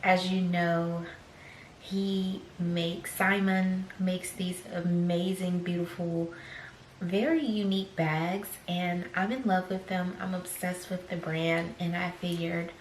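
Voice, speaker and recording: A young woman, slightly raspy after choking on a drink, chatting slowly through an unboxing vlog with many fillers and soft whispered asides. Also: fairly low pitch, flat delivery, slight background noise. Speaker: fashion vlogger. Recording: echoey room.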